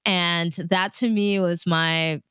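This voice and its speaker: A woman chats casually as an interview guest. Her voice is a touch low and remarkably clear and resonant. The recording is dry and close, with very little room sound. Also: fairly even intonation, slow, fairly bright tone, no echo. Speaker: radio DJ and show host, interview guest.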